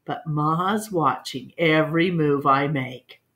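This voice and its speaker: A woman reading a children's book aloud as a storyteller in an expressive read-along style. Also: low-pitched, slow.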